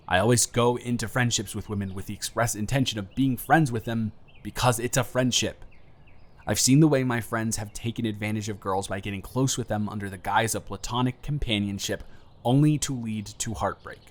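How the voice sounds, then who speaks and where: A man's voice: lowish voice, close to an everyday voice. A man playing an earnest, anxious young man confessing love to a close friend in a hesitant, vulnerable way, in an intimate audio roleplay. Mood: earnest, reflective. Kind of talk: emotional roleplay audio acting, intimate monologue to the listener.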